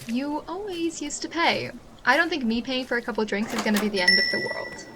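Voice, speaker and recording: Younger sister role, female actor: high-pitched, naturalistic, teasing and bickering, audio drama with noisy ambience. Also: brisk, natural voice. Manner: casual sibling bickering. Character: teasing younger sister, casual, exasperated but affectionate. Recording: clearly noisy ambience behind the voice.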